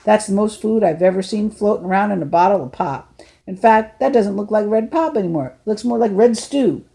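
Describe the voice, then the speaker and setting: A woman's voice: mid-range voice, a little fast, vocal fry, dark tone, voice pushed up, natural voice. Woman putting on older man, grandfather, folksy, teasing, joking, raised voice, audiobook. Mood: kindly.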